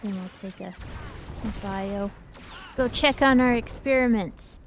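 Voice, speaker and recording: A woman's voice: medium pitch, a little slow, noisy background. A woman chatting casually as she plays a video game as co-host of a livestream. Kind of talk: casual chat while playing a video game.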